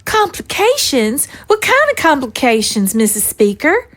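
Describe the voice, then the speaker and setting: A woman's voice: high-pitched, huge pitch swings, unhurried, a bit bright, full character voice. A woman playing an anxious, pleading president's wife in an audio drama, worried and emotional.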